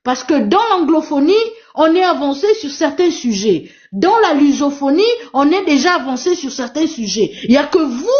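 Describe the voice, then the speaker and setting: A high-voiced woman whose first language is Portuguese speaks on a live online panel, pressing her points with emphatic repetition. Her voice comes through dull, lo-fi stream audio. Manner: emphatic, repetitive, exhorting the audience. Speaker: live stream moderator and activist. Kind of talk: impassioned live panel talk.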